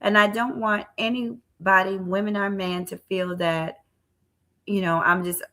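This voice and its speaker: A woman's voice: mid-range voice, fairly even intonation, a little slow, a bit bright. A woman in her forties hosting a live stream, chatting casually with frequent restarts and repeated words. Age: 40s. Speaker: live-stream show host.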